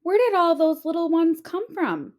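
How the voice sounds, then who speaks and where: A woman's voice: very high-pitched, very animated, moderate pace, darkish tone, pitched above the performer's own voice. A woman voicing an anxious, motherly hen in a storybook, plaintive and exclaiming. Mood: amazed.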